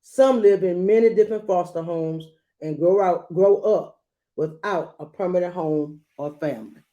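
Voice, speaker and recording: Mellow woman reading a picture book aloud. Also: on the low side, moderate pace, highs cut off. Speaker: read-aloud storyteller. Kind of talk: children's picture-book read-aloud with asides.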